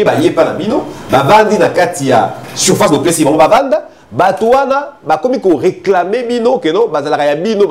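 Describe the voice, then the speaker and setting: A man from Congo speaking as a guest in a political debate, explaining his views in a measured way with many hesitations. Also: a little high, a little mellow. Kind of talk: political debate in French and Lingala.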